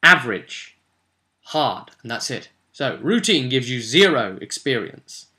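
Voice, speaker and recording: A man's voice: mid-range voice, very animated, measured pace, bright, forceful tone. A man presenting a tabletop role-playing video as an enthusiastic explainer, emphatic and chatty, with rhetorical asides to viewers.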